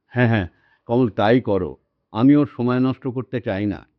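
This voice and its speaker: A man's voice: mid-range voice, normal speed, dry recording, natural voice. Man acting hesitant, weary old man, muffled audio. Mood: hesitant, uneasy. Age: older. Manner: hesitant, repeats his words. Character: anxious, lonely, frail old man, hesitant and courteous. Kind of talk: Bengali radio drama acting. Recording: dull lo-fi audio with background music.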